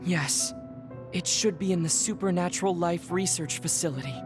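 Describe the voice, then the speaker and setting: A man voicing a reserved young male soldier who hides a secret, speaking formally and softly. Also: sits high, moderate pace, close to an everyday voice. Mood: worried, formal. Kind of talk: game cutscene dialogue. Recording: game audio with music behind.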